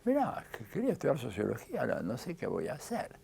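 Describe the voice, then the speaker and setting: A man's voice: mid-range voice, wildly swooping pitch, fast, creaky, warm tone, slight background noise. An older man with a Rioplatense Argentine accent, telling his story in an interview and acting out the dialogue he quotes.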